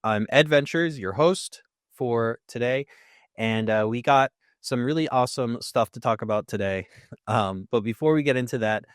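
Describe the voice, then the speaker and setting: A man's voice: mid-range voice, normal speed, dry recording, studio quality. A man hosting a podcast in an upbeat, chatty way, often laughing lightly between his words. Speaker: podcast host.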